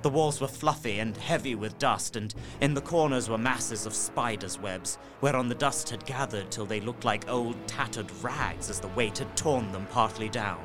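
An actor playing an earnest young gentleman who narrates his horror journal in an audio drama, in a measured, literary and haunted manner. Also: medium pitch, music in the background, natural voice. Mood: haunted, uneasy.

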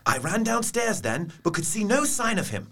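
An actor playing a grave, earnest young gentleman giving a report in a theatrical audio drama. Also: high voice, very animated, moderate pace, creaky, exaggerated voice. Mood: urgent, shaken.

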